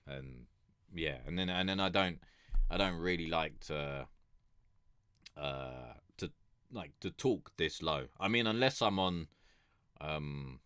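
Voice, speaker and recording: A man's voice: very deep voice, lively intonation, takes it slowly, long pauses, a bit bright, smooth, no room sound. A man streaming music reviews, chatting casually and rambling with lots of fillers, restarts and the odd swear word. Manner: consciously keeps his voice raised above its natural depth. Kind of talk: casual chat.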